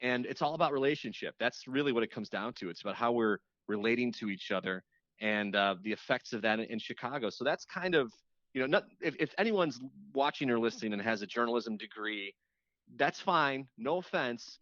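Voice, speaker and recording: A man's voice: mid-range voice, animated, a little fast, a bit bright, no echo. A man talking as an interview guest in a casual, reflective, chatty way, circling back and repeating words for emphasis. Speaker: radio host appearing as a podcast guest. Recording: slightly dull, lo-fi audio.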